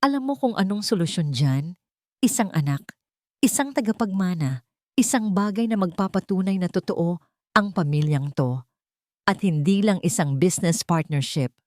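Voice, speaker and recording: A woman's voice: lowish voice, lively intonation, normal speed, darkish tone, no room sound, close to an everyday voice. Cold, nagging millionaire's wife for a narrated drama, played by a female storyteller; taunting, irritated, code-switching, dry recording. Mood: insistent. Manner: irritated, goading, mixes English into Tagalog.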